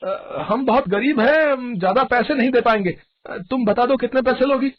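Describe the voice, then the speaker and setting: A man's voice: very high voice, normal speed, highs cut off. A man voicing a humble, friendly poor young man in a story, polite and hesitant. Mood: polite, hopeful.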